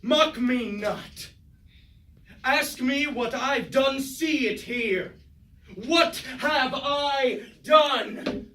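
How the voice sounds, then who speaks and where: A man's voice: very high voice, very slow, bright, forceful tone, full character voice. A man acting an anguished, guilt-ridden robot warrior in a staged tragedy, declaiming in archaic poetic phrases. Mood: frantic, anguished. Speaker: stage actor. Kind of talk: staged reading of mock Greek tragedy. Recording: distant roomy stage recording, faint noise.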